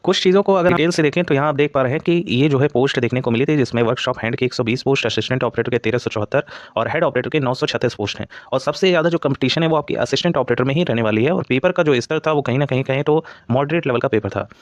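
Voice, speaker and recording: A man's voice: medium pitch, rapid-fire, no room sound. A man presenting an informal exam-update explainer to candidates, repeating filler phrases as he explains. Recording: dull, lo-fi close dry recording.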